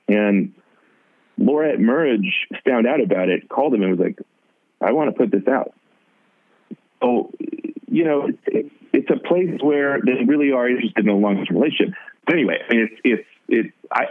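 A man with a raspy voice, talking as a musician guest in a casual interview, halting and full of restarts. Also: fairly low pitch. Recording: tinny phone-like line.

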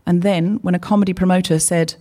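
A woman's voice: fairly low pitch, fairly even intonation, fast, warm tone. A woman co-hosting a live comedy podcast on stage, chatty and anecdotal, acting out both sides of the conversations she retells. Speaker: podcast co-host. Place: live stage before an audience.

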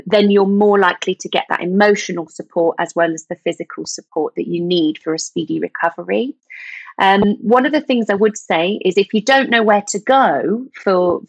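A woman's voice: lowish voice, dry recording, low-quality recording. Woman explaining vocal health. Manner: fluent and informative, with frequent "um" and small restarts. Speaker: vocal health expert guest. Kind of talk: podcast interview, explanatory.

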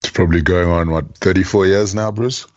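A man in his forties from Zimbabwe, talking in a relaxed, matter-of-fact way as a guest on a chat podcast. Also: low-pitched, fairly quick, dry recording. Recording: dull lo-fi remote audio.

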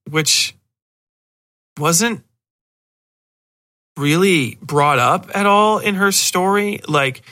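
A man's voice: a little high, sharp tone. A man hosting a podcast, giving a casual, rambling film review in a blunt, profane, sardonic way with exasperated asides. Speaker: podcast host reviewing horror films. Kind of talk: casual spoken movie review.

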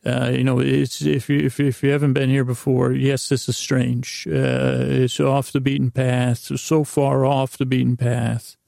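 A man hosting a bedtime podcast in a creaky, soft-edged drawl, rambling along in a halting, silly, meandering way and often interrupting himself. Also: medium pitch, little pitch movement, dry recording, clean studio sound. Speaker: podcast host.

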